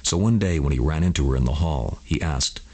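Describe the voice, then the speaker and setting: A man tells a scripted bedtime story for adults. His voice sits extremely low in pitch and has a husky, rough-edged texture. He delivers the prose as a narrator reading to listeners. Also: lively intonation, a little fast, darkish tone.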